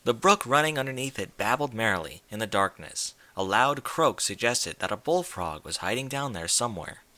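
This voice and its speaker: A man's voice: mid-range voice, expressive, normal speed, pushed and bright, no echo. A man reading a scripted piece of fiction as an audiobook narrator.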